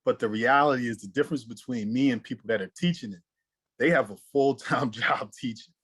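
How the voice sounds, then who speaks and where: A man's voice: medium pitch, brisk, a bit bright, slightly rough, no echo. A man speaking as a guest, earnest and grateful, stammering now and then. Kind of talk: casual conversation.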